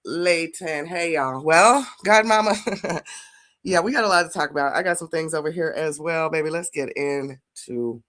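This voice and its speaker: A woman's voice: low-pitched, normal speed, a bit bright. Woman, podcast co-host, stuffy from a cold, slangy, playful casual chat. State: congested from a cold.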